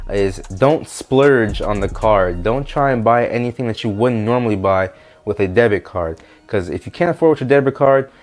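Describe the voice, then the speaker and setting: Nasal man with a cold. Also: medium pitch. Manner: direct, talks straight to viewers. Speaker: finance YouTuber. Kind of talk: casual advice vlog.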